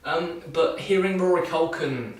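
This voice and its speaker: A man's voice: on the high side, a little slow. Young man rambling in an echoey room. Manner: loose and chatty with frequent restarts and dry sarcasm. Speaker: vlogger. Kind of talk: casual rambling film review. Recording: echoey room, mic far off.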